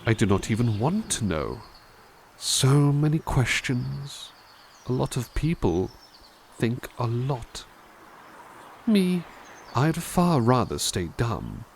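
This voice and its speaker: A man playing a gentle, kindly butler who softly reads poems aloud in an ASMR roleplay and muses thoughtfully on each one. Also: mid-range voice, sing-song, slow pace, gravelly, slight background noise, exaggerated voice. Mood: musing. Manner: soft, musing, thoughtful asides between poems. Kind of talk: ASMR roleplay poetry reading.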